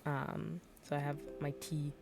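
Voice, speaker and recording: A woman's voice: low voice, flat delivery, measured pace, gravelly, darkish tone, soft background music. A woman livestreaming a game in casual, rambling chat, her voice a little worn out and tired.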